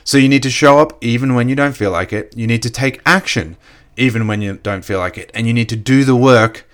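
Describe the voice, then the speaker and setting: A man with a slightly croaky voice giving a casual motivational business talk, full of "you know" fillers. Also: mid-range voice, brisk, fairly bright tone. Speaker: network marketing coach. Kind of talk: motivational solo podcast talk.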